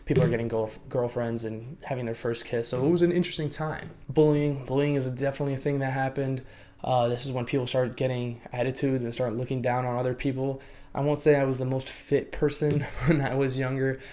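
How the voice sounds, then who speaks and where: A young man raised in the United States, whose first language was Lithuanian, talks through his own life story to the camera. His pitch sits in an ordinary middle range, and his delivery is loose and reflective, full of "you know" and "I would say" as he hunts for the right word. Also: level tone, brisk, mellow. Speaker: vlogger. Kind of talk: personal life story, unscripted.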